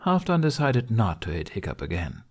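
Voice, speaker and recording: A man's voice: lowish voice, very animated, moderate pace. A man reading scripted story narration as an audiobook narrator.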